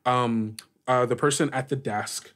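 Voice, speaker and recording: A man's voice: medium pitch, fairly even intonation, a bit bright. A man narrating an improvised tabletop game as game master, feeling his way through descriptions with frequent "like". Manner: halting, searching descriptions with frequent "like".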